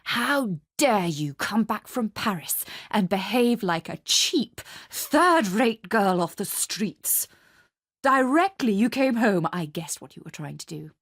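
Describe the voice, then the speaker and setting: A woman's voice: medium pitch, expressive, slow, fairly bright tone, breathy edge, no room sound, studio quality, exaggerated voice. A woman playing a jealous, faded mother in a radio drama, speaking in bitter, scornful accusations. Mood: bitter fury.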